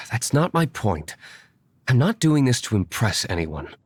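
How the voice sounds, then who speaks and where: An actor playing an aloof, commanding young nobleman in a video game, speaking in a formal, measured, disdainful way. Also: mid-range voice, big pitch swings, slightly rough, full character voice. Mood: cool, serious. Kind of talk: video game character acting.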